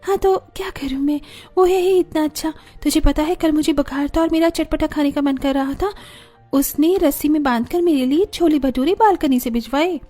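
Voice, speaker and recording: A woman's voice: high-pitched, moderate pace, dark tone, faint music behind, close to an everyday voice. A woman voicing chatty young women in a cartoon family story. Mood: gossipy.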